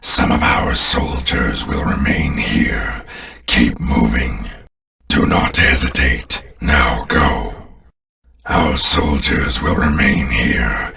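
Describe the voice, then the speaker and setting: An extremely deep alien overlord, speaking slowly and with contempt, as in a video game. The tone is grandiose and forceful, with a gravelly grind, and sounds set a little back from the mic. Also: male voice, far from the mic, exaggerated voice. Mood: imperious, urging. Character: menacing alien warlord commanding an invading army.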